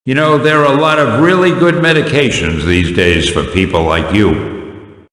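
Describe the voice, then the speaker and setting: A man's voice: mid-range voice, normal speed, a bit bright, close to an everyday voice. A man voicing a chatty, genial friend to superheroes in a jovial, folksy manner for a fan-made game. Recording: echoey, long reverb.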